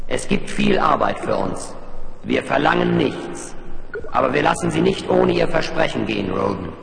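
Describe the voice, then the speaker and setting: Hallendes, knarrendes fremdes Kollektivwesen. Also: male voice, mid-range voice, sing-song, vocal fry, a crowd in the background. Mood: stern, demanding. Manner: solemn, formal, measured pronouncements. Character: stern collective alien mind speaking through a possessed man. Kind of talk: 1970s German science fiction radio drama acting. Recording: echoing long reverb, faint noise.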